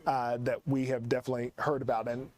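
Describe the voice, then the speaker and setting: Halting male TV weather forecaster. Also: mid-range voice, level tone, a crowd in the background. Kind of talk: unscripted live weather commentary.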